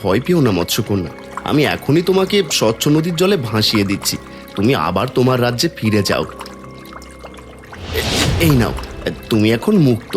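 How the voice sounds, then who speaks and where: Man voicing a plaintive farmer, dramatically swooping pitch. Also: very animated, normal speed, long pauses, soft background music, close to an everyday voice. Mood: reassuring. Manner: plaintive, earnest. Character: poor kind-hearted village farmer, sorrowful father. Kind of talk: Bengali cartoon fairy tale acting.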